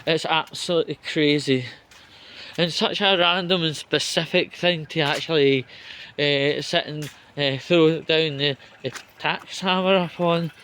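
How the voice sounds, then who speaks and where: A man with a slightly high voice rants off the cuff at an unhurried, dragging pace. He is sardonic and sweary, breaking his commentary into short halting chunks. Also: fairly even intonation, a bit bright, a little hiss or hum. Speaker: vlogger giving political commentary. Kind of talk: off-the-cuff spoken rant.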